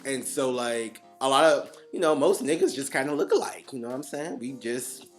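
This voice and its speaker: A man co-hosting a casual chat podcast, animated and quick to laugh, with faint guitar music underneath. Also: medium pitch. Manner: animated, laughs often, drawn-out emphasis. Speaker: podcast co-host.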